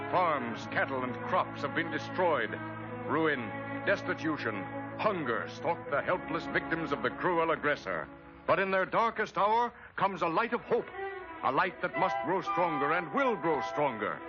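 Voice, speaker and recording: American man, 1940s propaganda film narrator. Higher-pitched, sweeping, declamatory delivery over music, vintage band-limited soundtrack. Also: muffled recording. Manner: dramatic, emphatic, declamatory delivery. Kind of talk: 1940s wartime propaganda newsreel-style scripted narration. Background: orchestral film score.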